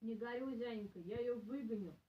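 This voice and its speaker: A big, kindly storybook bear , read by a woman talks steadily and bluntly. The voice is high, with a distant microphone and audible room echo. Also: normal speed, muffled recording, a little hiss or hum, close to an everyday voice. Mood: comforting. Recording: roomy, distant camera microphone.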